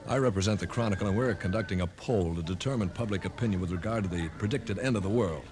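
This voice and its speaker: An actor playing an earnest newspaper reporter, hesitant and questioning, in old radio drama. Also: male voice, low voice, quick pace, lightly acted. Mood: inquisitive.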